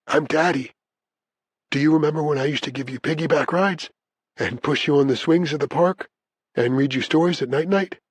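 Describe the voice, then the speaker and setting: A man's voice: on the high side, moderate pace, breathy edge, no room sound, pitched above the performer's own voice. A man playing an anguished imprisoned father in an audiobook, speaking with raw feeling to his family. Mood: tender, pleading. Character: imprisoned father, anguished, bitter, tender with his small daughter.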